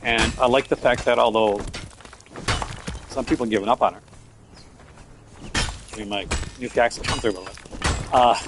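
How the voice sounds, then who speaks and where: A man's voice: mid-range voice, steady tone, normal speed, pauses often, noisy background. Newfoundland man rambling over gameplay. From Newfoundland, Canada. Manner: rambling, offhand, talks while playing. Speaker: game streamer. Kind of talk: casual gameplay live-stream chat.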